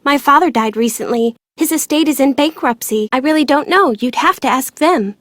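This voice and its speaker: Game role: bitter young woman clerk, high pitch, sardonic matter-of-fact delivery, dry studio sound. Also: moderate pace, a bit bright, no room sound. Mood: resentful, matter-of-fact. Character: bitter, cynical young woman office worker at amusement park. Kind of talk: video game voice acting.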